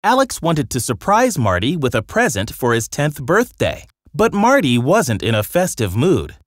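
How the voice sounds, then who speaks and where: Storybook narrator for kids, really animated reading, dry clean sound, dramatic. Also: mid-range voice, moderate pace, no room sound. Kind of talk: scripted children's story narration.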